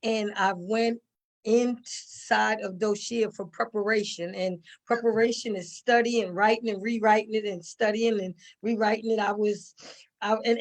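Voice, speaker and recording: An older woman with a fairly high voice talks casually as a guest. She rambles through stories in a folksy way, doubling back on phrases for emphasis. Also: low-quality recording. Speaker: interview guest, stage actor. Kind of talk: casual storytelling chat.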